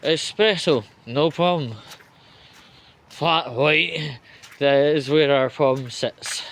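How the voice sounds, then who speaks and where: Slow, halting man on a sardonic rant. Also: fairly high pitch, a bit bright. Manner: sweary, sardonic, halting in short phrases. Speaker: vlogger giving political commentary. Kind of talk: off-the-cuff spoken rant.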